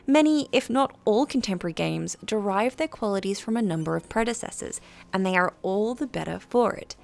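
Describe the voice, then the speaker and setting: A British woman reading a scripted review as narration, in a measured, articulate and formal way. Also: mid-range voice, creaky, a little hiss or hum.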